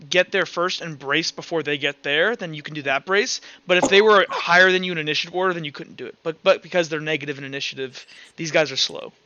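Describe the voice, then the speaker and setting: A man acting as game master in a tabletop roleplaying game, narrating and refereeing in a casual, halting way with self-corrections. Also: a little high, sharp tone, dry recording, highs cut off.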